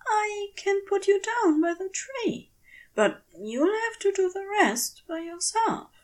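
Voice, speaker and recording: Woman voicing a soft, high-pitched, gentle polite man. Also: animated, takes it slowly, exaggerated voice. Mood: soft, soothing. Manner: soft, careful, coaxing. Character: gentle, flustered, polite man soothing a small creature. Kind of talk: storybook character dialogue.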